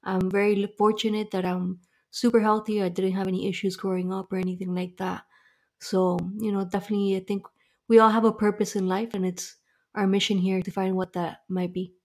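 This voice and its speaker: A woman's voice: mid-range voice, flat delivery, a little fast, a little mellow. A young woman from Miami answering interview questions in a friendly, chatty way, with fillers and restarts. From Miami, Florida. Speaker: podcast guest.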